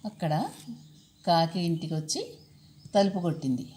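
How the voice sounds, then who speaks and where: A woman's voice: on the low side, lively intonation, measured pace, mellow. A woman telling a children's story, repeating and explaining key words and putting questions to her young listeners.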